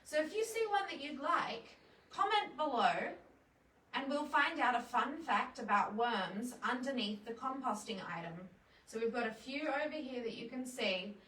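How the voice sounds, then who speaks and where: Woman doing kids storytime, high voice, simple explaining with questions, echoey room. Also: animated, normal speed, a bit bright. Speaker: children's storytime presenter. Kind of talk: children's storytime with songs and read-alouds. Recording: roomy echo, distant microphone.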